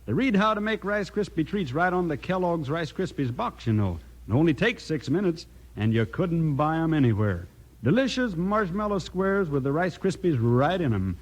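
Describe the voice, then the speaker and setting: An actor playing a cheery, enthusiastic cowboy pitchman with a folksy drawl in a scripted 1950s radio commercial. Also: big pitch swings, normal speed.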